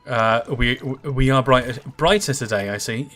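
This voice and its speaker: A man's voice: medium pitch, moderate pace, fairly bright tone, soft background music. A man in his thirties chatting casually with viewers while he livestreams a game, rambling with lots of fillers and answering comments. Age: 30s.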